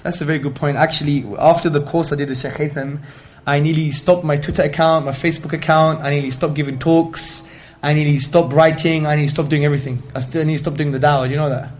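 A man's voice: a little high, level tone, fast talker, darkish tone. A man giving an Islamic religious talk to an audience, speaking emphatically and rhetorically and peppering his speech with Arabic phrases. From Greek heritage. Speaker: Islamic lecturer.

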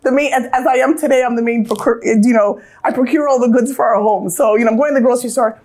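A woman's voice: fairly high pitch, fast, darkish tone. An American woman from Southern California, in her thirties, reflecting earnestly on her life in a casual, storytelling way, with frequent restarts.

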